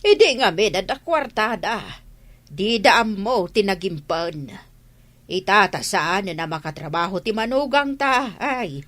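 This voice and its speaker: A woman's voice: mid-range voice, animated, unhurried, a bit bright. An actress with an Ilocano accent playing an older, troubled and pitying mother-in-law in a radio drama.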